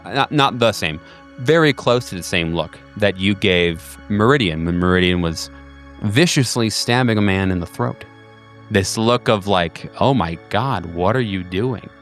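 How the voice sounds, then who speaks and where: Male game master, low voice, rambling delivery, faint music bed. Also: fairly bright tone. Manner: rambling, lots of fillers and restarts. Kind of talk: narrating a tabletop game.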